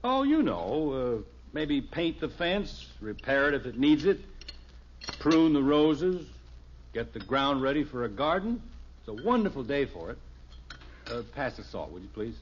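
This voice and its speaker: Middle-aged sitcom father, folksy, easily exasperated, lively intonation, dull vintage radio sound with faint hiss. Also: mid-range voice, a little slow. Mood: easygoing. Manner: folksy, hesitant, dryly sarcastic. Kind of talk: 1950s radio sitcom acting.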